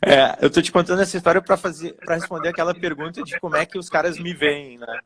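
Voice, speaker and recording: A man's voice: on the high side, quick pace. A man who works as a personal trainer, telling his story casually and acting out the dialogue. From Brazil. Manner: animated storyteller, acts out dialogue.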